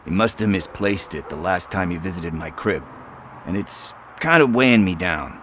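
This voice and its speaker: An actor playing a chatty, evasive street hustler who talks in wheedling casual slang. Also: male voice, low-pitched, expressive, normal speed, a bit bright, a little hiss or hum. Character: shifty street hustler, middle-aged man, chatty and evasive.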